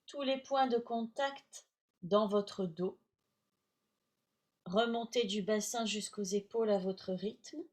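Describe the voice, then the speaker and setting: Slow-paced woman guiding relaxation. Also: medium pitch. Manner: measured, soothing, long pauses between short phrases. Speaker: yoga nidra teacher. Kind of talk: guided relaxation instruction.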